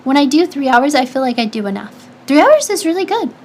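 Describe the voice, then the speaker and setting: A woman streamer answering her chat in casual talk, with a high-pitched, slightly nasal, stuffy voice, chatty and full of restarts. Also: very animated, a little fast, a little mellow, a little hiss or hum. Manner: chatty, advice-giving, lots of restarts and fillers. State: stuffy nose.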